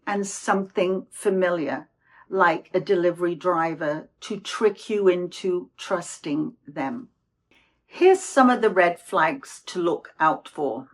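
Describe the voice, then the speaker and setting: Slow-paced, deliberate woman presenter. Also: on the low side. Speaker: host. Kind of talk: informational talk to camera.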